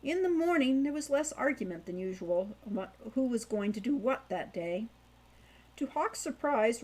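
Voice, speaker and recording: A woman's voice: fairly high pitch, a little mellow, rough recording. A woman reading scripted fiction aloud as an audiobook narrator.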